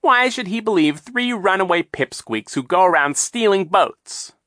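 A man voicing a scheming, mocking villain captain who talks slowly and with menace. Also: high-pitched, expressive, sharp tone, dry recording, studio quality, voice pushed up. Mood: sneering. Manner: mocking, dismissive, slow menacing delivery. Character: sneering scheming male villain, sea captain.

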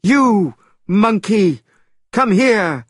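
A man's voice: high voice, expressive, dry recording, studio quality, pitched above the performer's own voice, exaggerated voice. A man doing a lofty, stern old immortal. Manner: slow, drawn-out lofty pronouncements. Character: stern old male immortal teacher, wise and strict.

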